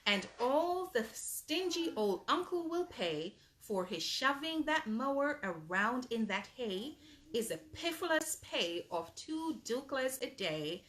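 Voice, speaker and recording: Female storyteller for children's rhyming books: high voice, unhurried pace, emphatic delivery, slight background noise. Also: a bit bright. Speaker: host reading children's books aloud. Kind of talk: read-aloud bedtime story with asides to children.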